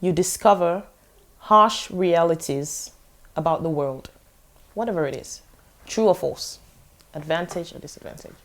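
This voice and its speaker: A woman's voice: lowish voice, measured pace, pauses often. A woman hosting a discussion, giving advice and asking questions in an encouraging, affirming way. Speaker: discussion host and mentor.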